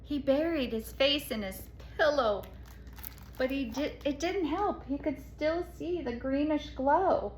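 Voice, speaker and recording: Echoey, dramatic woman reading a picture book. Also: high-pitched. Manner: dramatic, with hushed asides and pauses. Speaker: read-aloud storyteller. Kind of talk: expressive children's picture-book read-aloud. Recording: echoey room with faint noise.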